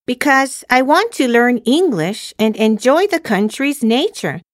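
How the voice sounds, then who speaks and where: Woman acting cheerful high-voiced student girl, slow. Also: big pitch swings, no room sound, studio quality. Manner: carefully enunciated, textbook-like. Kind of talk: scripted English listening-test dialogue.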